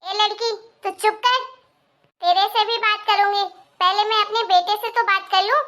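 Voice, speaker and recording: A woman voicing a domineering, sarcastic mother-in-law who scolds and taunts in an animated family melodrama. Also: extremely high pitch, moderate pace. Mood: scolding. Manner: accusing, taunting, sharp-tongued.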